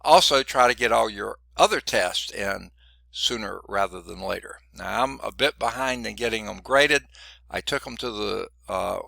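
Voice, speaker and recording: A man's voice: fairly low pitch, normal speed, creaky, pushed and bright, no room sound. A middle-aged man teaching a college math class, chatty and digressive, sounding congested and often checking in with "okay?". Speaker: math instructor. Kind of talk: classroom lecture.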